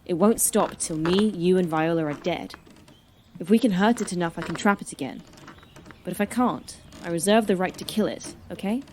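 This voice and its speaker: Actress as wounded but resolute network woman, lowish voice, earnest, wry, sci-fi audio drama, faint background noise. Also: moderate pace, a little mellow, lightly acted. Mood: grim resolve.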